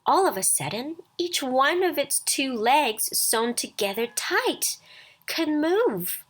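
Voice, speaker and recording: A woman reading a scripted children's bedtime story aloud as storyteller, expressive and deliberate. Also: high voice.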